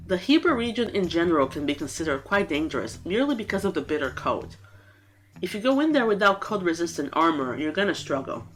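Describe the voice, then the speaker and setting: A woman with a nasal, congested voice from a cold, giving an earnest, scripted game ranking. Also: on the low side. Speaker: gaming YouTuber.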